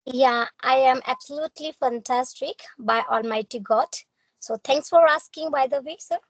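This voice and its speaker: A woman's voice: high voice, normal speed, no echo, lo-fi sound. A young woman with a North Indian accent and Hindi as her first language, speaking English in a formal, courteous, earnest way in a practice conversation. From Punjab, India.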